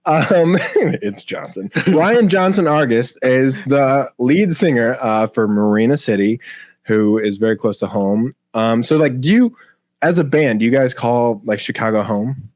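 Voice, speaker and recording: A man's voice: medium pitch, unhurried, a little mellow, no room sound. A man with an American Midwestern accent hosting a podcast in an informal, chatty way, swearing freely. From Illinois, US. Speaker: podcast host. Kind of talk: casual podcast chat. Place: basement room.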